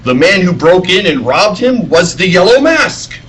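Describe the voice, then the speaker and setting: A man playing an earnest reporter with a secret heroic side, speaking with deliberate urgency in old-time radio serial style. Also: sits high, big pitch swings, a bit bright, breathy edge, heavily acted, rough recording. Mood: urgent, deducing.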